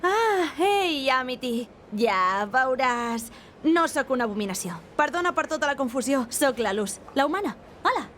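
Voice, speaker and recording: Woman doing perky teen witch apprentice, high lively voice, fan dub cartoon. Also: unhurried, slight background noise, exaggerated voice. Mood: friendly, awkward. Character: cheerful, plucky teenage human girl, apprentice witch. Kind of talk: amateur cartoon fandub. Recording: music behind the voice.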